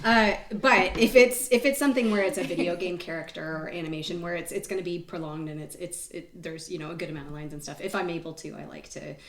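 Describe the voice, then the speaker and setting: A woman's voice: on the low side, fairly even intonation, fast, hardly any pauses, over a noisy crowd. A woman talking casually as a voice actor guest, with a wry, self-deprecating manner. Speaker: voice actor, cast member guest. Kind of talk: casual interview chat. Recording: echoey room, distant mic.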